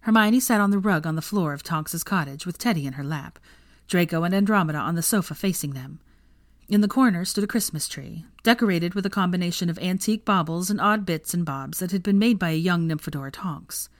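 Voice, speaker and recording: A woman reading a story aloud as narrator, in an expressive scripted delivery. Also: lowish voice, brisk. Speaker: fan fiction narrator.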